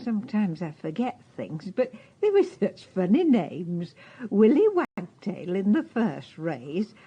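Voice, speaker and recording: An actress playing a gentle, forgetful, slightly anxious elderly Englishwoman in a radio drama. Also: lowish voice, very animated, slow, husky, lo-fi sound, full character voice. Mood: polite, rambling. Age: older.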